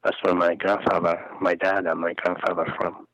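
A man in his forties from Palestine, a non-native English speaker, talking earnestly and haltingly in a phone interview. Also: low voice, little pitch movement, fairly bright tone, no room sound. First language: Arabic. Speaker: interview guest. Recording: muffled phone recording.

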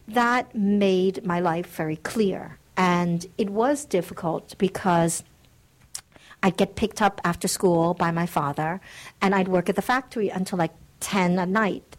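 A middle-aged woman from the United States, a guest author, answering interview questions in an earnest, reflective way with light laughs. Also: fairly low pitch.

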